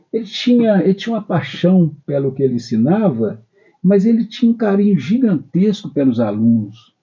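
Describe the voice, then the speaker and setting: Man, host of a religious talk show, very dark husky voice, dull lo-fi sound, chatty and reflective. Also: on the high side. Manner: warm storytelling, frequent "né?" tag questions. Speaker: program host. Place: spiritist center.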